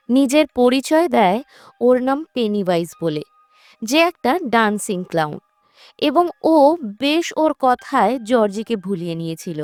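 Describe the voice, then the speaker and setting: High-voiced woman narrating a story. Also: darkish tone, no room sound. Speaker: narrator of a movie recap channel. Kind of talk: scripted storytelling narration of a horror film recap. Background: background music.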